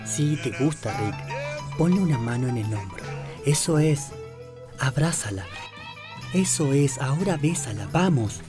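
An actor playing a bossy, exasperated political adviser, insistent and sarcastic, in a comic radio play. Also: male voice, a little high, expressive, unhurried, pauses often, music in the background. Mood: bossy coaching. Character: exasperated, cynical male political adviser and fixer.

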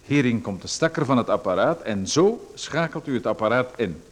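Guy doing a pushy gadget salesman, talks smooth and sure, pitch all over the place, radio drama. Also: animated, normal speed, close to an everyday voice. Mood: persuasive. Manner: smooth, persuasive lecturing. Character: pushy male salesman of a dubious hair machine. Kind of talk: radio play acting.

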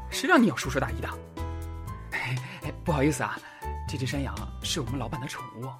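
An actor playing a scolding yet apologetic animal clinic worker in an audio drama. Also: male voice, mid-range voice, sing-song, fast talker, over loud music, close to an everyday voice. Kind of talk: modern audio drama acting.

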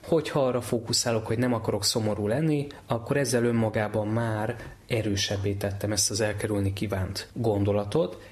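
A man giving a measured, structured explanatory talk in the manner of a teaching expert. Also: on the low side, darkish tone.

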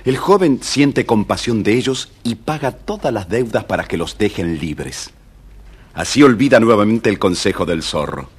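A man with a husky voice narrating a scripted children's story, dramatic and speaking straight to young listeners. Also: on the low side, lively intonation, normal speed.

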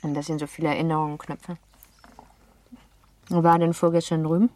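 A woman acting a lively, playful, well-born girl of seventeen in a German radio play, chatty and teasing. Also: deep voice, fairly quick, close to an everyday voice. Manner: chatty, teasing, self-assured.

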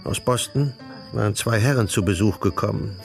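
A man narrating a literary radio drama in a measured storytelling manner, with a creaky voice. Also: fairly low pitch, over music.

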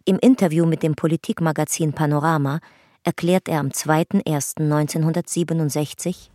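An actress as the narrator of a historical radio drama, reading a chronicle in a sober, measured documentary manner. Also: female voice, sits low, fairly even intonation, dark tone, no room sound, studio quality, close to an everyday voice.

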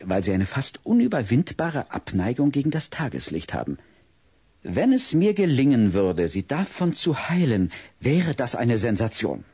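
A big, kindly child psychologist in a radio play, gently probing and explaining. The voice is husky and lively in pitch, recorded dry. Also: male voice, moderate pace, dry recording, heavily acted. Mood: earnest, explanatory. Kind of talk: audio-drama acting.

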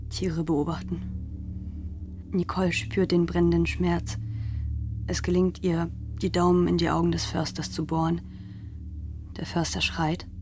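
A woman's voice: fairly low pitch, flat delivery, unhurried, long pauses, a little mellow. A woman narrating a radio drama in a scripted, dramatic storytelling style. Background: music.

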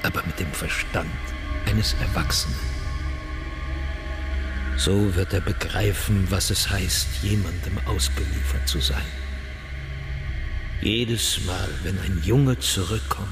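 A village medicine man speaks low and very slowly. He is grave and knowing, delivering prophecy solemnly in a radio drama, with music clearly underneath. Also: fairly even intonation. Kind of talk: audio drama acting.

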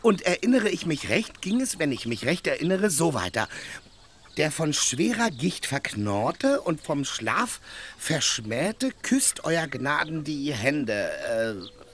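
An actor playing a simple, boastful, loyal peasant squire in a radio play, earnest, muddled and self-important. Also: male voice, a little high, big pitch swings, slow, fairly bright tone, a little hiss or hum, full character voice. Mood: reciting, muddled. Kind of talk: German radio play acting.

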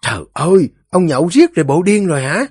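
Man putting on a nagging Southern Vietnamese wife, high-pitched. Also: sing-song, quick pace, darkish tone, breathy edge, no room sound, clean studio sound. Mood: exasperated, scolding. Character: scolding, fearless middle-aged village wife, exasperated.

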